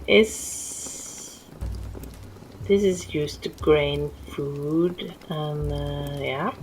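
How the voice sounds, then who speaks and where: A woman's voice: low voice, slight background noise, rough recording. A middle-aged woman with a Norwegian accent, talking through a city-building game in a rambling, easily distracted way with lots of restarts. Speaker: gameplay streamer. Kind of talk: casual let's-play commentary. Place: home.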